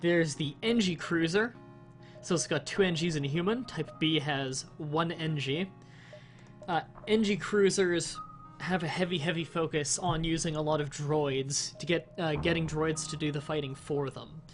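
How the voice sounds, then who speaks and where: A man's voice: a little high, normal speed, soft background music. A slightly sick young man with a nasal voice, casually explaining a game in a rambling stream commentary. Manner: rambling, explains game mechanics with fillers and restarts. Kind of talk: casual let's-play commentary.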